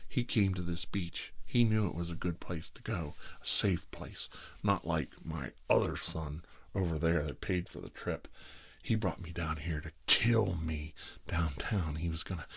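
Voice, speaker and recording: A man's voice: sits low, measured pace, vocal fry, slightly rough, voice pushed down, full character voice. A man voicing his rambling, boastful old father in a storytelling ASMR recording, grumbling and self-pitying. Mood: aggrieved.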